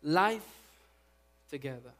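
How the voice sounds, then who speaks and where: Guyanese-accented preacher, a bit high-pitched. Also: male voice, animated, slow pace. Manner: rising to loud emphatic preaching, with prayerful soft passages. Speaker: pastor. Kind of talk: sermon.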